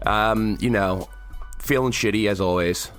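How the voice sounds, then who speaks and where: A man co-hosting a podcast in a casual political chat, hoarse and raspy from the flu, rambling and restarting his sentences. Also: lowish voice, a little slow, a bit bright, soft background music. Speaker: podcast co-host.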